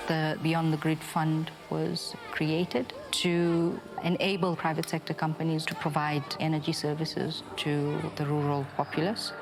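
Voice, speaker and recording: Low-voiced Zambian woman over loud music. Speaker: fund facility administrator, interviewee. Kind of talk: explanatory documentary interview. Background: loud background music.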